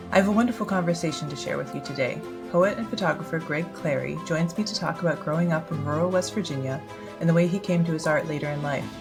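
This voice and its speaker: A woman hosting a podcast, reading a polished intro and then asking questions in a measured way. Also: fairly low pitch. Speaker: podcast host. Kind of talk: scripted intro and interview.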